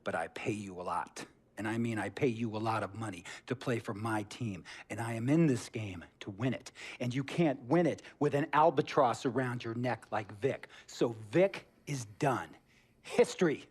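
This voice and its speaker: A man's voice: mid-range voice, normal speed, husky, natural voice. Bossy billionaire team owner, voiced by a man, lecturing his star player with stern, pushy authority in a video game cutscene. He talks in an ordinary middle register and keeps hammering each point home. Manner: bossy lecturing with sudden loud outbursts.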